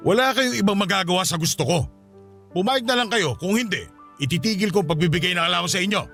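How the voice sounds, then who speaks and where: A man's voice: sits high, fast, pushed and bright. An actor with a Filipino accent playing a domineering philanderer who bankrolls his mistresses, bossy and threatening, in a radio drama. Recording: music behind the voice.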